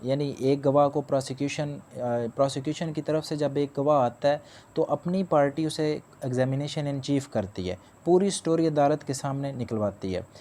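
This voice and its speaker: A man's voice: fairly high pitch, moderate pace, mellow. A man giving a spoken law lecture. He explains step by step, restates each point and mixes in English legal terms. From Pakistan.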